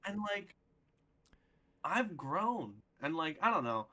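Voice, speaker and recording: A man's voice: a little high, very animated, takes it slowly, sharp tone. A young man of twenty in casual live-stream chat, rambling earnestly with many fillers. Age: 20s.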